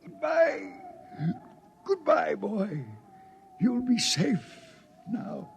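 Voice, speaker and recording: Old prophet, very slow, halting, strained and coughing, wide swings, solemn, radio play. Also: male voice, very high voice, very animated, vocal fry, slight background noise, heavily acted. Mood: fading, tender. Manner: preaching, biblical, halting delivery. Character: dying old prophet, solemn wild-haired leader of Martians. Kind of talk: 1950s radio drama acting.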